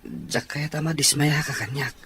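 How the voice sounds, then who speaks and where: A man's voice: fairly high pitch, fairly even intonation, moderate pace, a bit bright, slight background noise, lightly acted. A man playing a dutiful, self-conscious young boyfriend and son in an audio drama, speaking hesitantly and apologetically. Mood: ashamed, apologetic.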